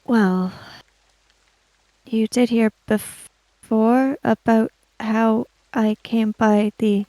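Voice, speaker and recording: A woman acts out a guarded samurai woman in a tabletop game. Her fairly high voice comes slowly and formally, with hesitant breaks between phrases. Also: steady tone, warm tone, no echo, poor audio. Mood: guarded, careful.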